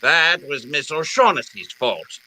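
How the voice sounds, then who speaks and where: Man acting bright, theatrical gentleman crime boss. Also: fairly high pitch, big pitch swings, heavily acted. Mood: matter-of-fact. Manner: florid, courteous, deliberate phrasing. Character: genteel, wily older criminal mastermind, falsely jovial and polite. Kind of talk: old-time radio drama acting.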